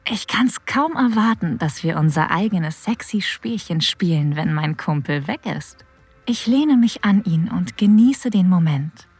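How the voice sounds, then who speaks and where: A woman playing a flirtatious, adventurous narrator of an erotic audio drama, with a breathy voice that often drops toward a whisper. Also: fairly low pitch, animated, a little slow, a little mellow, no echo, faint music behind, full character voice. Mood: intimate, anticipating. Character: flirtatious, adventurous woman telling her own erotic story. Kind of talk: intimate first-person scripted narration.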